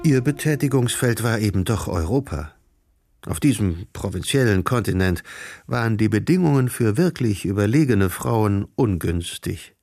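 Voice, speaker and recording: Guy narrating a radio play, scripted literary reading, creaky voice, faint music behind. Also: on the low side, animated, normal speed, darkish tone.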